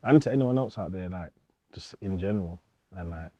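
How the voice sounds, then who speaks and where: A man's voice: low-pitched, animated, a little fast, warm tone, lo-fi sound. A young man, speaking informally with slang and repetition as a DJ being interviewed. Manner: informal, slangy, repeats himself. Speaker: DJ, interview guest. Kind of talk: casual documentary interview.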